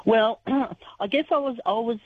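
A woman from Australia, croaky-voiced and earnest, talking emotionally in a radio interview. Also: fairly high pitch, normal speed. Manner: earnest, emotional when recalling the past. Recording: tinny phone line.

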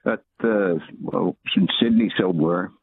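A man's voice: sits low, a little slow, slightly rough. Northern Irish man on a tinny phone line. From Northern Ireland. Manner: chatty storyteller, easygoing. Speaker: caller. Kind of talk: casual phone-in chat.

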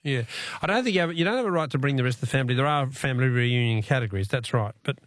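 A man's voice: mid-range voice, lively intonation, very fast, no echo. A man hosting a talkback radio show, chatting easily with callers and stumbling into restarts. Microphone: studio mic.

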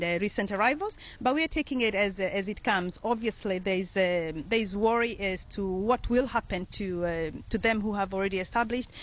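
A middle-aged woman from Kenya with a Kenyan accent, a community leader answering radio interview questions in an earnest, measured way with frequent pauses. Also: medium pitch.

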